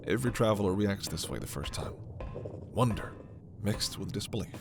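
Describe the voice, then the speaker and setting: A man playing a gentle, authoritative alien doctor in a sci-fi ASMR roleplay, speaking in a measured, formal and reassuring way. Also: fairly low pitch, animated, vocal fry, music in the background. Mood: warm, guiding. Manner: measured, formal, reassuring, soft-spoken asides. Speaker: voice performer.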